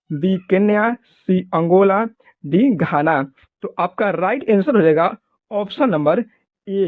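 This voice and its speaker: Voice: mid-range voice, no room sound. Phone-like voice reading quiz questions. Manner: formulaic, repetitive question-and-answer delivery. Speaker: quiz presenter teaching exam questions. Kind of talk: reading multiple-choice questions and answers. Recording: muffled phone-like audio.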